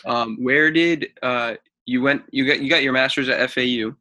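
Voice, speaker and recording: A man in his mid twenties, an athletic trainer, chatting casually on a podcast in an even, level way. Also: mid-range voice, moderate pace. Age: mid 20s.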